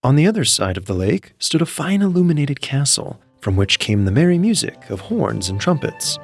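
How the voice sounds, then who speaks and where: Guy narrating a fairy tale, lowish voice, really animated, music playing behind him. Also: normal speed. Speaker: storyteller, narrator. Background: background music.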